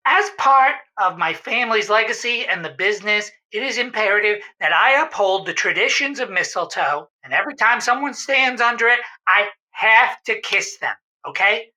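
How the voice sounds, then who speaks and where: A woman's voice: medium pitch, animated, moderate pace, few pauses, pushed and bright, rough recording. A woman playing a desperate, defensive family-business owner who pleads melodramatically on a comedy radio call-in. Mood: desperate, insistent. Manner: pleading, insistent, prone to melodramatic outbursts. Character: desperate, defensive mistletoe-shop owner, spouse of a fed-up wife.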